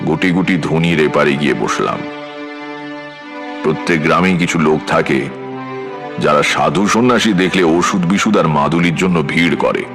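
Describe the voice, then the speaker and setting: A man with a husky voice narrating a scripted dramatic story in the first person, in a measured storyteller's delivery. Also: deep voice. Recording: music bed behind voice. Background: background music.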